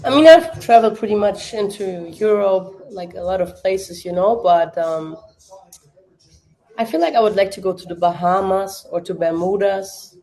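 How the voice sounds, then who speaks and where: A woman's voice: medium pitch, normal speed, mellow, low-quality recording. A young woman with a German accent, hosting a casual livestream watch party, chatting with many fillers and answering viewers' questions.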